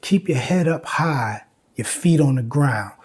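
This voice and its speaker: A man's voice: medium pitch, expressive, a little slow, a little mellow, breathy edge. A man giving an informal lecture with recited lyrics, speaking emphatically and repeating phrases for emphasis. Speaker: host and lecturer on music history.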